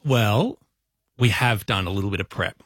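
A man's voice: on the low side, normal speed, fairly bright tone, breathy edge, dry recording, clean studio sound. A man filling in as radio co-host, joking in a stammering, mock-solemn way. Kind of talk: casual radio banter.

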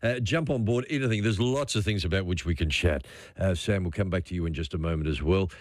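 A man's voice: low-pitched, fast, no echo, clean studio sound. A man hosting a talkback radio show, chatting with a caller and encouraging her. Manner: encouraging, conversational.

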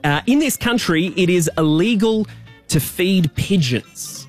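A man's voice: high voice, lively intonation, unhurried, faint music behind. A man co-hosting a radio show, running a quiz game with upbeat patter.

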